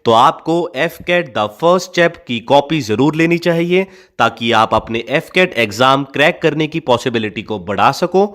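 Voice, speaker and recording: A man's voice: mid-range voice, a bit bright. A man with an Indian accent giving a scripted, instructional explainer voice-over as a channel host. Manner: instructional, mixes Hindi with English terms. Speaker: host of an exam-preparation channel. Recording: voice-over with music behind. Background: music.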